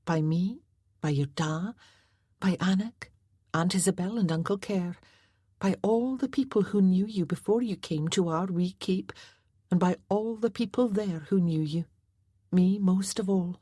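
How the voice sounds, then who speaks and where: A woman voicing a tender young mother who speaks softly and earnestly, sometimes in a whisper. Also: lowish voice, a little slow, slightly rough, no room sound, clean studio sound, pitched above the performer's own voice. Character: tender young Scottish mother, loving but firm.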